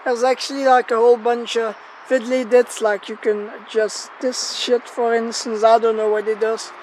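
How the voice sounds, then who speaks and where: A woman's voice: on the high side, level tone, moderate pace, a little mellow. A woman chatting casually and rambling as she presents a gear test outdoors, informal, sweary and self-deprecating, in a noisy location recording. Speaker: gear tester presenting a megaphone test video. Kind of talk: casual rambling vlog chat. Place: outdoors in an open area near a road.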